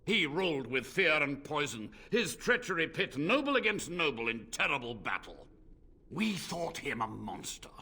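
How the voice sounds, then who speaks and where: An actor playing an ancient, unrepentant blood mage in a fantasy video game, speaking in a grave, formal, theatrical way. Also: male voice, fairly high pitch, big pitch swings, moderate pace, bright, forceful tone, exaggerated voice. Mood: bitter, weary.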